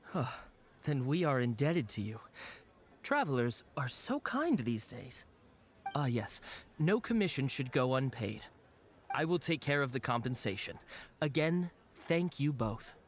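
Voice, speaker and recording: A man voicing a dutiful civil guard officer, formal, measured and polite, in an anime game dub. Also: mid-range voice, big pitch swings. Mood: grateful. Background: game music.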